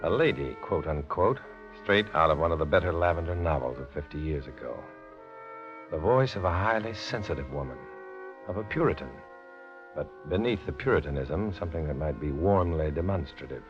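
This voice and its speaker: An American actor in a 1950s radio drama playing a cool, guarded undercover agent with a clipped, measured, insinuating delivery. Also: male voice, rumbling low, expressive. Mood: reflective narration. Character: cool, guarded male undercover agent posing as Communist blackmailer. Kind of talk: 1950s American radio drama acting with first-person narration. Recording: dull, lo-fi old radio recording, music behind.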